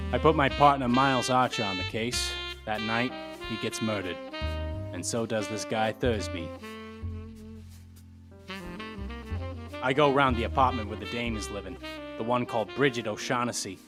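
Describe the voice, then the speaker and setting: An actor playing a tough, wry, cynical private detective in a 1940s-style radio drama, with slangy, clipped delivery. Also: male voice, medium pitch, natural voice. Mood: hardboiled, matter-of-fact.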